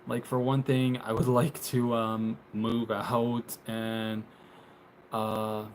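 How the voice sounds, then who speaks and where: A man's voice: mid-range voice, monotone, slow pace, a little hiss or hum, rough recording. A man in his twenties chatting casually on a live stream, rambling with lots of fillers and restarts and laughing often, filmed on a phone on a tripod in a small closet. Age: 20s. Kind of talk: casual live stream chat, reading viewer comments. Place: small bedroom closet, indoors.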